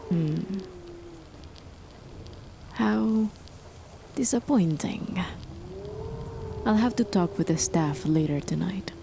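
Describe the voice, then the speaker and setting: A woman voicing a cold, controlling female chemist spouse in a soft, measured, often whispered ASMR horror roleplay, her tone condescending. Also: lowish voice, vocal fry, full character voice. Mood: menacing. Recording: noisy with rain behind. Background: steady rain.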